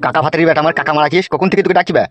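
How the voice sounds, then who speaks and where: A bossy village boss from a comedy cartoon, played by a man talks very fast in a slightly high male voice. His speech is gruff, slangy and coarse, and music plays behind him. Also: a bit bright, dull audio, close to an everyday voice. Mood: irritated. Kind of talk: Bengali comedy cartoon dialogue.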